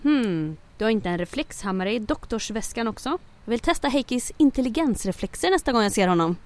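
A woman's voice: fairly high pitch, expressive, close to an everyday voice. An actress playing a wry, determined female mission leader in an audio drama, with a dry, sardonic way of talking.

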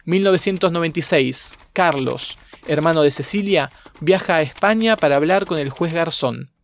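A male narrator with a fairly high voice reads a scripted documentary chronology. Typewriter clicks and faint noise sit behind him. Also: normal speed. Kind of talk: scripted documentary narration, reading dated events.

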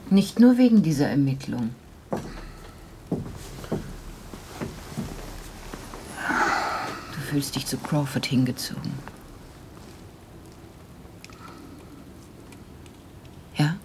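An actress playing a detective's worried, weary wife, tender but reproachful, breathy and hushed, in a naturalistic radio drama. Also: female voice, low-pitched, sing-song, normal speed, background noise.

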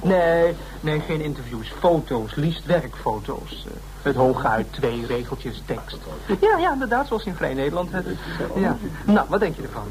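Cheeky, high-voiced smooth talker. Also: male voice, sing-song, normal speed, crowd noise, poor audio. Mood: smooth, charming. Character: cheeky, easygoing man, a smooth-talking flirt. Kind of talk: radio drama acting in Dutch.

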